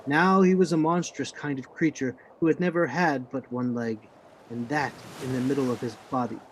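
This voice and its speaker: A man playing a grave narrator recalling his boyhood adventure, with measured dramatic radio play delivery. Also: mid-range voice, steady tone, a little hiss or hum, close to an everyday voice, low-quality recording. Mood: grave, reminiscent. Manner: measured, literary storytelling.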